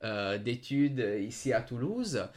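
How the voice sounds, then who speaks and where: A man's voice: medium pitch, very animated, slow, darkish tone. A man with an Italian accent, a native Italian speaker, talking hesitantly and searching for words in an unscripted discussion. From Italy.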